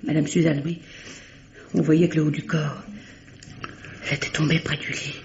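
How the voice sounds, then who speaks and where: An actress playing an earnest, fussy working-class concierge in an old radio drama, chatty, deferential and eager to explain. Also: female voice, deep voice, little pitch movement, normal speed, darkish tone, lightly acted. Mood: earnest recounting. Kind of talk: mid-century French radio drama acting. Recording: dull, roomy, noisy vintage radio recording.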